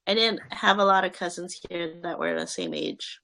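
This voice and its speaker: Young woman in an interview, gravelly fry in her voice, kinda bright, lots of ums and restarts. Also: on the low side, wildly swooping pitch, moderate pace. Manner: hesitant, many fillers and restarts. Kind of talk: casual interview answers.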